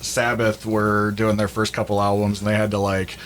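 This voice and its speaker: A man talking casually and a little haltingly as he co-hosts a discussion of an album. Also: lowish voice, monotone, a bit bright. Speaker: podcast co-host. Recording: clear background noise.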